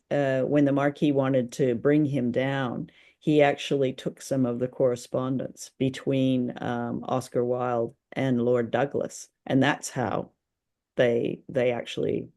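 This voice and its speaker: Woman podcast host, casual book chat, kinda halting, thinks out loud as she goes. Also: a bass voice, little pitch movement.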